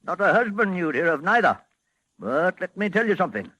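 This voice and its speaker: A boastful, half-mad old wizard with a New country dialect. His voice is bright and forceful, swinging widely in pitch, and recorded dry in old-time radio drama style. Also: very animated, brisk, no room sound, full character voice, poor audio. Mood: cryptic, ominous. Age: older. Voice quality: folksy dialect speech. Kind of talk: 1940s radio acting.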